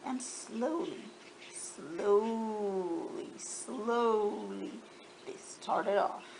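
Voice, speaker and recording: A woman storyteller reading a children's story aloud in an expressive, dramatized way, with faint background noise. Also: medium pitch, drawn-out words, vocal fry.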